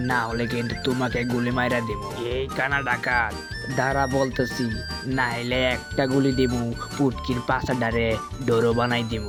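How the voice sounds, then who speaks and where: A man's voice: medium pitch, close to an everyday voice. Blustering police inspector, acted by a man, Bangladeshi accent. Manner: bossy, threatening, shouting orders. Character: blustering, exasperated male police inspector, foul-mouthed, trigger-happy. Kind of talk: comic cartoon dubbing in colloquial Bengali.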